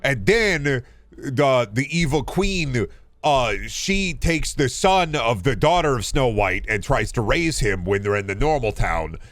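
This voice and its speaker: A man with a mid-pitched voice talks casually, as a streamer does to his chat. He rambles along haltingly, circling back and repeating his phrases. The sound is dry, with no room echo. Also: lively intonation, fairly bright tone, faint music behind. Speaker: video game streamer.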